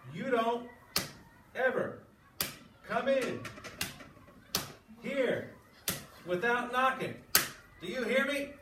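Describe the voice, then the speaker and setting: An angry, domineering father from a storybook acted out by a male reader, shouting emphatically at a raised pitch. He sounds distant, in an echoey, noisy room. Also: drawn-out words, long pauses, heavily acted. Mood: furious. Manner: confrontational, emphatic. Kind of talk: read-aloud storytelling. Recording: echoey classroom, distant mic, background noise.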